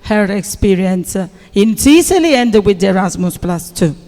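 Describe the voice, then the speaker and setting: A woman, hosting a school event and speaking to students in English in a slow, coaxing, playful way. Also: medium pitch, lively intonation, mellow. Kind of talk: moderating student introductions. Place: school hall with audience. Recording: reverberant hall.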